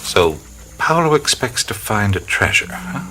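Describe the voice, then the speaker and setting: An actor playing a laid-back, skeptical adventurer hero, talking in a dry, wry way. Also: male voice, lowish voice, sing-song, unhurried, rough recording. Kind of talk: radio play acting with inner monologue. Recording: studio radio play with music score.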